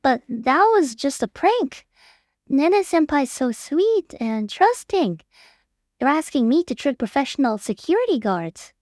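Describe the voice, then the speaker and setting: A woman's voice: sits high, big pitch swings, measured pace, darkish tone, no echo, clean studio sound. A woman playing a timid, conscientious young streamer in a scripted audio drama, hesitant and anxious. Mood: reluctant. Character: timid, conscientious, gentle young female streamer, easily guilt-ridden.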